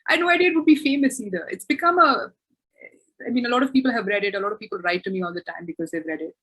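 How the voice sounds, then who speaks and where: A woman's voice: medium pitch, rapid-fire, fairly bright tone, breathy edge, low-quality recording. A woman author answering questions in a webinar, thoughtful and self-correcting, with frequent restarts.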